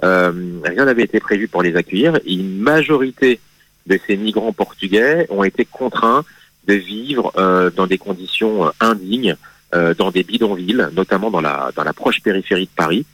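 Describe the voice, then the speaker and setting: A man speaks as a guest in a radio studio, laying out history in a measured, patient way. His voice sits on the low side and he hesitates often, circling back on his own phrasing. The sound is dry and close, with no room around it. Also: fairly bright tone, dry recording, low-quality recording. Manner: hesitant, many fillers and restarts, measured explanation. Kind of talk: radio interview, explaining history.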